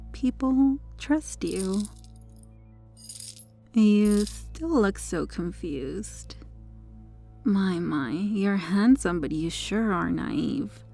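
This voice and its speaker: A woman's voice: medium pitch, lively intonation, slow, gravelly, mellow, soft background music, full character voice. A woman playing a corrupted, possessive superheroine in an ASMR roleplay, flirtatious, teasing and condescending toward the listener. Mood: teasing condescension.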